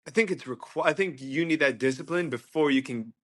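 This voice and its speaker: A man's voice: a little high, fairly quick, fairly bright tone. A man hosting a casual interview, talking in a rambling way with many fillers and restarts. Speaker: host, interviewer.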